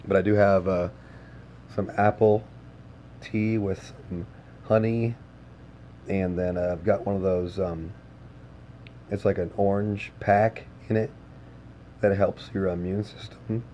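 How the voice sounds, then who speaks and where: A man with a hoarse, worn voice chatting casually with his livestream viewers, easygoing and full of filler words. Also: deep voice, steady tone, slow, pauses often, dark tone, slight background noise. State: hoarse, lost voice. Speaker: game streamer.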